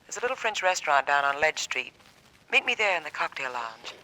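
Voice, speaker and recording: A woman's voice: low-pitched, very animated, bright, forceful tone, heavily acted, rough recording. An actress playing a secretive, urgent woman seeking help, heard over a telephone in a 1940s radio drama. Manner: brisk, secretive.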